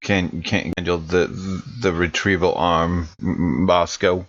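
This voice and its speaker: A man playing a tabletop role-playing game in casual chat, halting and often repeating words mid-sentence. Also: low voice, steady tone, highs cut off.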